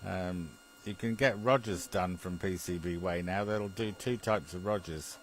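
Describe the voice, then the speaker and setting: A man's voice: low voice, measured pace. A British man, a radio hobbyist, chatting casually about technical matters in a hesitant, chuckling way and often repeating his phrases. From Britain. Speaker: amateur radio enthusiast.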